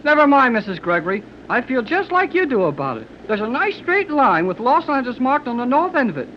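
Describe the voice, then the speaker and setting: A performer playing an excitable, slangy, wisecracking American boy in 1930s radio serial style. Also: medium pitch, fairly quick, natural voice. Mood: eager, curious. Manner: slangy, eager. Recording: dull, noisy old 1930s radio recording.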